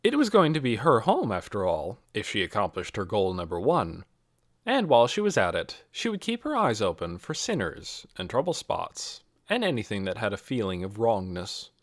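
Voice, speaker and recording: A man's voice: on the low side, huge pitch swings, normal speed, no echo, studio quality. A man reading a scripted novel aloud as an audiobook narrator. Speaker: audiobook reader.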